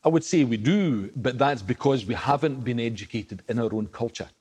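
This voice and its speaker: A man's voice: medium pitch, animated, moderate pace. A man with an Ayrshire Scots accent, a writer and broadcaster, speaking passionately and emphatically as an interview guest. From Scotland. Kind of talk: interview, explaining history.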